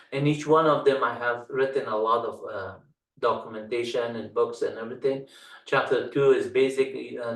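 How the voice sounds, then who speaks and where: Middle-aged Dari-native man from Afghanistan, halting tutorial delivery full of fillers, recorded in an echoey room. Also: medium pitch, fairly bright tone, distant mic. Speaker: instructor. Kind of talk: screen-share walkthrough tutorial.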